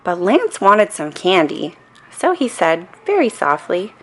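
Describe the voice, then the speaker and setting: A woman reading a children's story aloud in an expressive, deliberate way with dramatic emphasis. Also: lowish voice. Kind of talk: animated bedtime story read-aloud.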